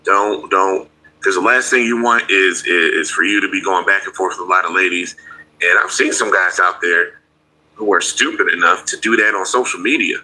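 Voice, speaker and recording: Low, forceful man giving advice. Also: moderate pace, poor audio. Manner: earnest, advising, addresses listeners as fellas. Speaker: co-host. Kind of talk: advice talk show.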